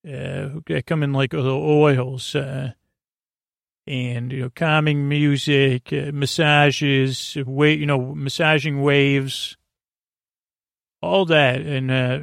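A man hosting a bedtime podcast, rambling whimsically in a hesitant, halting way, with stammers and trailing tangents. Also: mid-range voice, gravelly, dry recording, clean studio sound. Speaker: podcast host.

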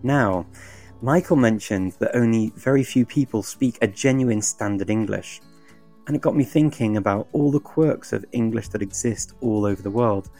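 Mellow, husky podcast host. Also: male voice, lowish voice, moderate pace, faint music behind. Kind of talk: hosting and reading sponsor spots.

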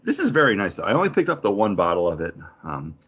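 A man's voice: low-pitched, wildly swooping pitch, fast, a bit bright, husky. A man chatting casually as a podcast co-host, opinionated and casually profane. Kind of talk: casual chat. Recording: dull lo-fi audio.

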